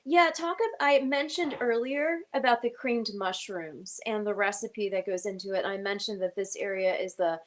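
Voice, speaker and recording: A woman's voice: medium pitch, normal speed, hardly any pauses. A woman from North Carolina, hosting a casual cooking segment and asking many questions. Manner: chatty, asks lots of questions. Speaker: host.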